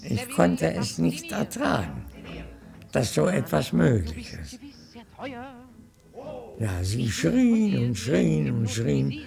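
An older man recalling his past in a slow, halting and emphatic way, with a gravelly, husky voice. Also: mid-range voice, wildly swooping pitch, over music. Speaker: interviewee recalling his emigration. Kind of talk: slow anecdotal reminiscence.

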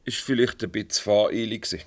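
A man's voice: lowish voice, brisk, dry recording, lightly acted. Stern paternal Swiss German boss, acted by a man. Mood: suspicious, needling. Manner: reproachful, patronising. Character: stern, paternal older male boss, jealous and reproachful. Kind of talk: radio play acting.

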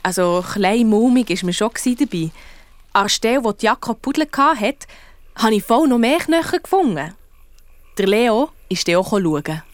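A woman's voice: a little high, expressive, moderate pace, dry recording, lightly acted. A woman playing a young girl detective, narrating a children's radio play colloquially with a Swiss German accent. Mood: storytelling. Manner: colloquial, wry. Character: Lisa, wry young girl detective telling her adventure.

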